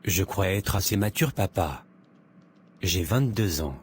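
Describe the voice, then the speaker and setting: Earnest romantic lead for animation, male actor, early twenties, polite, notably deep voice. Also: animated, a little slow, slight background noise. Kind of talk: animated drama dialogue. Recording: music behind the voice.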